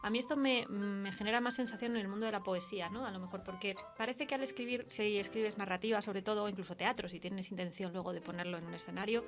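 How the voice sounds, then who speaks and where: A woman's voice: medium pitch, quick pace, hardly any pauses. Woman w castilian accent chatting about stuff, thoughtful, explains things, faint music behind. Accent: Castilian Spanish. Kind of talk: casual literary conversation.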